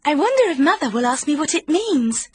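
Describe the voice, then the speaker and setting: A woman's voice: high-pitched, very animated, brisk, darkish tone, dry recording. A woman voicing an earnest little girl.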